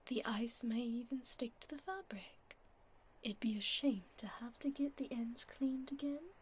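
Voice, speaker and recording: Woman voicing a slow, whispery regal vampire duchess. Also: a little high, slightly rough. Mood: haughty, wary. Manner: formal, aristocratic, unhurried. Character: regal, haughty but tender female vampire duchess. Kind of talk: ASMR roleplay, soft-spoken and whispered.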